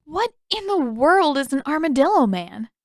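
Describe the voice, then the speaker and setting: Woman playing a sharp-tongued teasing teenage girl, pitched up. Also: very animated, normal speed, no room sound. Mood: curious, teasing. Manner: dry, teasing, pointed.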